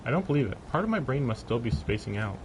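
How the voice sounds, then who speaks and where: A man with a medium-pitched voice reads visual novel text aloud on a livestream. He slips between narration and casual, amused asides. A faint noise sits in the background. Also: big pitch swings, quick pace.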